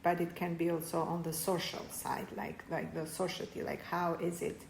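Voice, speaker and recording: Halting woman interviewer, gravelly voice. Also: lowish voice, little pitch movement, roomy sound, slight background noise. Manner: halting questions, frequent backchannel. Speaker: student interviewer. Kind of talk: interview questions.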